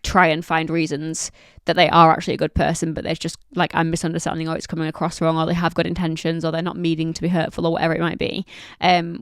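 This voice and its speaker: A woman talks through her solo podcast in a loose, rambling way, full of "like" and "um". Her voice is croaky and gravelly from a cold coming on. The sound is dry and close, with no room around it. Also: lowish voice, dry recording. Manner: chatty, rambling, many fillers and "like"s. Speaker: podcast host. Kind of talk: casual solo podcast chat.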